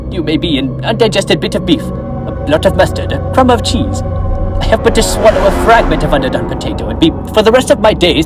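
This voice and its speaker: A young man playing a grumpy, miserly old man on a school stage, scolding in sharp outbursts. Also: high voice. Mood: frightened. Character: miserly, grumpy old man who later turns fearful. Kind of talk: school stage play acting.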